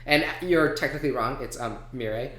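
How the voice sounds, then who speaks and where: A man co-hosting a podcast in casual banter, explaining things haltingly and correcting himself as he goes. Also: mid-range voice, crowd noise. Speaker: podcast co-host. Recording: roomy, echoey sound.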